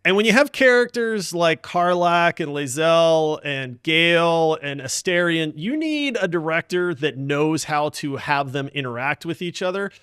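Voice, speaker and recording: A man with a fairly high voice hosts a casual podcast. He talks enthusiastically and emphatically, addressing friends informally, with a dry, close sound. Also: lively intonation, unhurried, hardly any pauses, a bit bright, clean tone, no echo. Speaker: podcast host.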